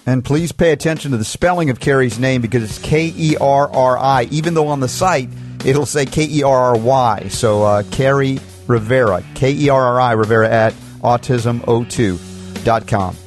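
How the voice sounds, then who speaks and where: A man's voice: mid-range voice, moderate pace, soft background music. A man hosting a health talk radio show, effusive and enthusiastic.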